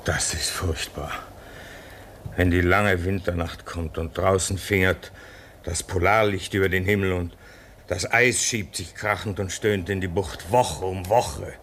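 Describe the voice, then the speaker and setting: A man's voice: deep voice, fairly even intonation, a little slow, fairly bright tone, a little hiss or hum. An actor playing a homesick, bitter man stranded at a polar station in a radio drama. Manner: bitter, despairing.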